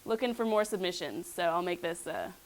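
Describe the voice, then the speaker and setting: A woman's voice: fairly low pitch, fairly quick, slight background noise, rough recording. A young woman, a graduating student, talking in a playful, upbeat way. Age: young adult. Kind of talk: casual personal introduction.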